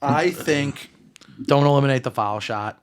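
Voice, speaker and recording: A man's voice: mid-range voice, a little slow. A man co-hosting a podcast in casual sports chat, explaining things and repeating points for emphasis. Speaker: podcast co-host.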